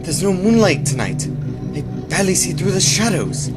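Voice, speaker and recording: Animated, impassioned young hero played by a man. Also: sits high, normal speed, over loud music. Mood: tense resolve. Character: earnest, impassioned young man, the heroine's sweetheart. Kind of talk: radio drama acting.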